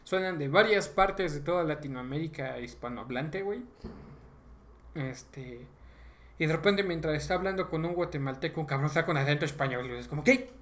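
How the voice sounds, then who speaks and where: A man's voice: a little high, brisk, fairly bright tone. A young man with a Mexican accent chatting casually as a podcast host about video games, using slang and frequent swearing.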